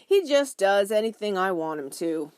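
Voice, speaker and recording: A woman's voice: medium pitch, animated, moderate pace, darkish tone, smooth, no room sound, studio quality, close to an everyday voice. A woman voicing an eager, chatty, innocent small boy in a children's audiobook, with guileless, slangy talk.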